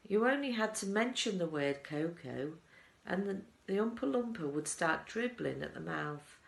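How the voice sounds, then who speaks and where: A woman's voice: lowish voice, animated, moderate pace, gravelly, echoey room. A woman voicing a proud, eccentric male chocolate maker telling his tale with emphatic relish in a storybook. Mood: storytelling.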